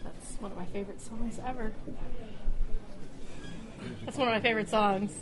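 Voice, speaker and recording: A woman's voice: mid-range voice, fairly even intonation, moderate pace, a loud crowd. A woman hosting informally for a group, calling out items in a casual, self-deprecating way. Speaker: quiz host running music clips. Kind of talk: informal live announcing to a group. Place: restaurant luncheon.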